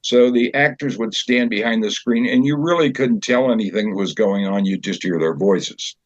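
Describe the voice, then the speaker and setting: A man explaining a theater show as its director in an interview, in a conversational, halting way. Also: fairly low pitch, dry recording. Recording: dull, lo-fi sound.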